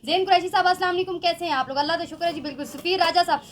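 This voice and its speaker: A woman co-hosting a live stream, briskly reading out viewers' names and greetings. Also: very high-pitched, slight background noise. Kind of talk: casual live chat reading viewer comments.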